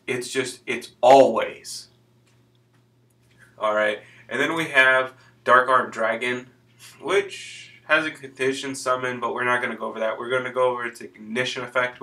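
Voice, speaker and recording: A young man, hoarse from being sick, casually explaining game rules in a loose, rambling way. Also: mid-range voice, pushed and bright, distant mic. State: hoarse from illness. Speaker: channel host explaining card game rules.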